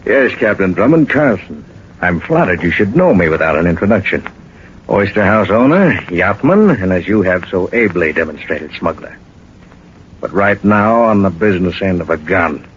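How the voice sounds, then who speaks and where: A dull old radio recording carries a smug smuggler villain. His low voice swings expressively through sardonic courtesy and menace, in 1940s radio drama style. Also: animated, moderate pace, a little hiss or hum. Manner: suave, sardonic, hesitating with mock politeness.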